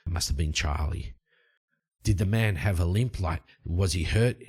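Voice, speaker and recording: Terse male adventurer voiced by a storyteller, low-key and questioning, pitched down, all business. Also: moderate pace, darkish tone, breathy edge. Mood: probing.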